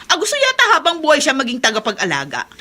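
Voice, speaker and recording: A woman's voice: high-pitched, huge pitch swings, fast talker, sharp tone, heavily acted. A woman acting a bitter, scornful middle-aged aunt in a Filipino radio drama, complaining in a theatrical way. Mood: spiteful.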